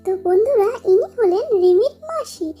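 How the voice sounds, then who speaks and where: A woman's voice: extremely high pitch, lively intonation, normal speed, dark tone, soft background music. A woman narrating a children's puppet story. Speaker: narrator and puppeteer of doll stories.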